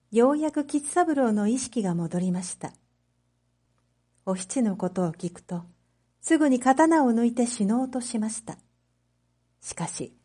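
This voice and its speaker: Slow, mellow woman reading a story. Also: a little high. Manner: careful, clearly phrased reading. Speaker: teacher narrating read-aloud material. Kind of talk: scripted story narration for language learners.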